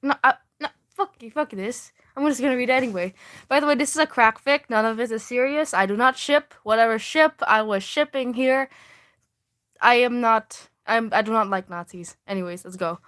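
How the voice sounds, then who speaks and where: A woman's voice: on the high side, normal speed, a bit bright. A young woman streaming live, reading her old fan fiction aloud with self-mocking, swearing asides and frequent laughter, often breaking off mid-sentence. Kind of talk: casual livestream reading with asides.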